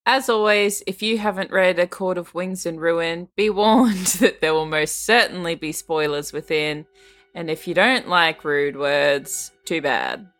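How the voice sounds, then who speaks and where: Unhurried woman podcaster over faint music. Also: lowish voice, fairly bright tone. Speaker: book podcast co-host. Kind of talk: casual chatty book discussion.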